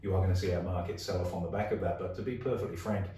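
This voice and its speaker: A hoarse, gravelly-voiced man with an Australian accent, hosting an investing podcast and explaining ideas through everyday examples. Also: low voice, fairly even intonation, rapid-fire, dark tone, very echoey, far from the mic, a little hiss or hum. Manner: explains with everyday analogies, frequent restarts. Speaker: podcast host. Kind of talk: casual investing podcast chat.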